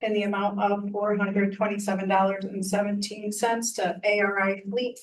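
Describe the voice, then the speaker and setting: Woman reading out tax refund motions, super flat, kinda far from the mic. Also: mid-range voice, moderate pace. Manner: flat, businesslike reading of figures. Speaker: town selectwoman. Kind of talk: reading motions at a town meeting. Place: meeting room.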